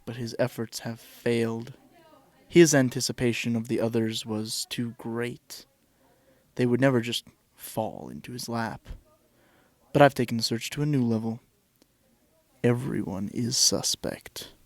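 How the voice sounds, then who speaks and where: A man's voice: mid-range voice, a little slow, a little mellow, no room sound, close to an everyday voice. An actor playing an intense, suspicious, earnest teenage boy in an audio drama, brooding and sometimes hesitant. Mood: brooding, determined. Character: earnest, suspicious, obsessive teenage boy missing his mother. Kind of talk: audio drama acting with inner monologue.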